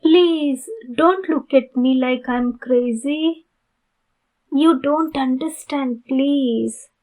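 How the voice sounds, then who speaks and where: A woman playing a polite, pleading, youthful-looking vampire in an ASMR roleplay, speaking formally and courteously with many pauses. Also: sits high, a little mellow, rough recording. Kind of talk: ASMR roleplay addressed to the listener.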